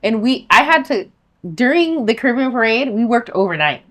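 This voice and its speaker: A woman from California co-hosting an interview show, telling stories in a relaxed, friendly way. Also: a little high, moderate pace. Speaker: co-host. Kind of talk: casual interview chat.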